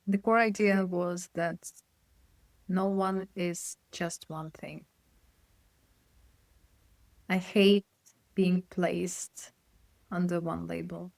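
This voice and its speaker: A woman from Poland, a guest consultant, answering interview questions in a thoughtful, measured way, often checking in with "right?". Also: on the low side, fairly even intonation, gravelly, dry recording, poor audio. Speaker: guest, psychological safety consultant.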